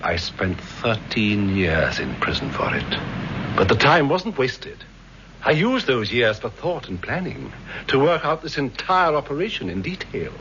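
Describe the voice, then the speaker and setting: An actor playing a formal, self-satisfied criminal schemer in a vintage radio crime serial. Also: male voice, medium pitch, big pitch swings, unhurried. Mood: gloating. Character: smug middle-aged or older male criminal schemer. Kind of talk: vintage radio drama acting. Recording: dull, faintly noisy vintage radio recording.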